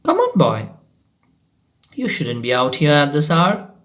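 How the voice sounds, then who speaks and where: A male storyteller acts out a stern schoolmaster from a storybook. The voice sits high and moves in big swoops, urgent and commanding. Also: huge pitch swings, measured pace, a bit bright, heavily acted. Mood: concerned, insistent. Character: stern schoolteacher, turning from sharp to frightened.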